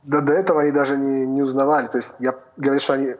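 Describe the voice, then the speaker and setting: A man from North Ossetia with an Italian-tinged Russian accent, answering over a video call in a plain, relaxed, slightly halting way. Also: mid-range voice. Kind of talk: casual remote interview. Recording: dull lo-fi call audio.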